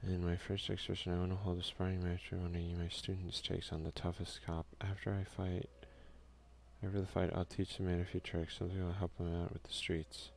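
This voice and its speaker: A soft-spoken man doing an ASMR let's play, reading game text aloud and commenting in a hushed voice that at times slips into a whisper. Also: low voice, little pitch movement, brisk, creaky. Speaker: gaming video creator and player.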